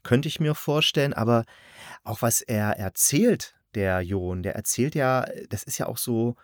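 A man's voice: medium pitch, unhurried, dry recording. Casual man rambling through a review, dry recording. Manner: rambling, colloquial, thinks aloud. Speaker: podcast host and audio drama reviewer.

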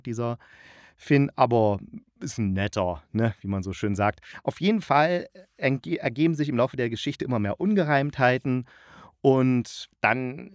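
A man's voice: lowish voice, dry recording, studio quality. A man talking casually and colloquially as a podcast host reviewing audio dramas, with a tongue-in-cheek way of putting things. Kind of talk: casual chatty review monologue.

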